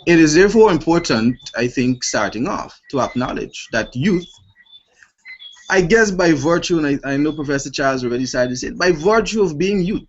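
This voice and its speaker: A man in his late twenties with a Guyanese accent speaks formally and deliberately as a panelist in an online discussion, stressing points rhetorically. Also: on the high side. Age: late 20s. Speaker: youth organisation panelist. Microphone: online video call. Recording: dull lo-fi web call, clear noise.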